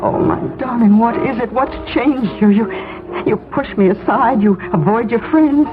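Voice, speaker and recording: An American woman acting a devoted, worried, no-nonsense wife in an emotive 1970s radio drama style, tender yet brisk. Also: on the high side, natural voice. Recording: tinny radio broadcast with music.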